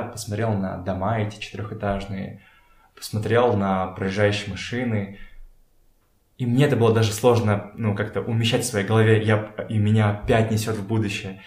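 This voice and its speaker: A man talking casually as a podcast guest, telling stories in a halting way as he searches for words. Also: fairly low pitch, darkish tone. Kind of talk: casual conversational storytelling. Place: indoors in a home. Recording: echoey room.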